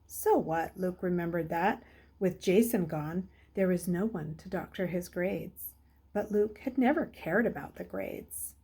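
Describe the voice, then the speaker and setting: A woman's voice: fairly low pitch, unhurried, mellow, husky. A woman reading a children's novel aloud as a storyteller. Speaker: story reader.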